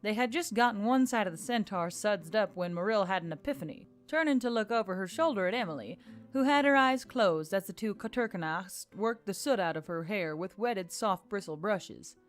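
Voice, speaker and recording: A woman's voice: mid-range voice, lively intonation, normal speed. A woman reading a scripted fantasy story as narrator. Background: music.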